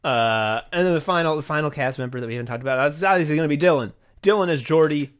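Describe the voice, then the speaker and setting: A man's voice: on the high side, quick pace, clean tone. A man hosting a podcast, chatting casually and effusively, with plenty of swearing. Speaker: podcast host. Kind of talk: casual behind-the-scenes podcast chat.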